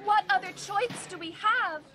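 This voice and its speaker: A woman's voice: very high-pitched, a little slow, crowd noise. A teenage girl playing an earnest, dutiful railway control operator in a school radio play. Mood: urgent, worried.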